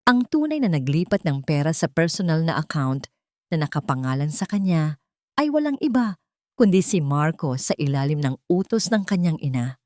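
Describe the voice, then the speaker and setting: A woman's voice: sits low, very animated, normal speed, darkish tone, no room sound, clean studio sound. A woman reading a scripted, dramatic audio story as its narrator.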